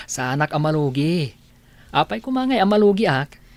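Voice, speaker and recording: Casting: male actor as kindly, coaxing village fellow, Ilocano accent, lively intonation, radio drama. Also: a little high, normal speed, no echo. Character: generous, friendly village man fond of a woman.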